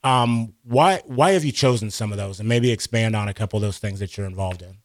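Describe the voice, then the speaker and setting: A man's voice: on the low side, brisk, dry recording. A man hosting a live radio interview from a studio, speaking into a close mic. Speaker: radio show host.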